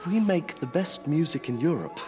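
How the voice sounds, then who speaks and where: An actor playing a nervous, deferential young music student in a period drama, hesitant in manner. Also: male voice, a little high, expressive, moderate pace, lightly acted. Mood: guarded. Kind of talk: naturalistic television period drama acting. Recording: echoey room, loud music behind.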